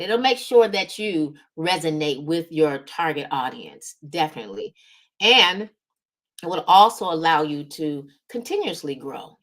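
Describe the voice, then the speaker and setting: Female business coach and host, lowish voice, emphatic instructional delivery that keeps checking the audience is following. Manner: emphatic, checks in with "right?" and "okay?". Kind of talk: motivational coaching talk to audience.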